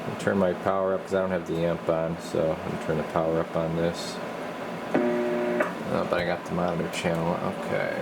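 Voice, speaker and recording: A man's voice: low-pitched, gravelly, heavy background noise. A man giving a casual hands-on demonstration as a radio technician, muttering informally as he works in a room. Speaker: radio repair technician demonstrating his work. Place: indoor radio workbench.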